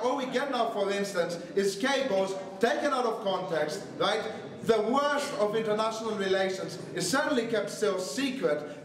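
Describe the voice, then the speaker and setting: Young man from Greece, high-pitched, brisk and sarcastic debater; heavy echo, distant mic. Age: young adult. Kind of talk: competitive debate speech. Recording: echoey room, distant mic.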